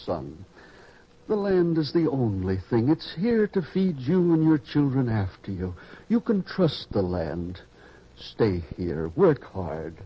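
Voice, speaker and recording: An actor playing an older, kindly and worried farmer father in an old-time radio drama, earnest and measured as he pleads. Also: mid-range voice, big pitch swings, husky, full character voice. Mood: earnest pleading. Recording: dull lo-fi radio recording.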